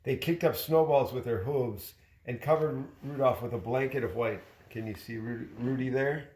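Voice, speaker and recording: A man reading a children's story aloud expressively, like a father sharing it with his child. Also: medium pitch. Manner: expressive, pausing to chat with the child. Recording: roomy, echoey home recording.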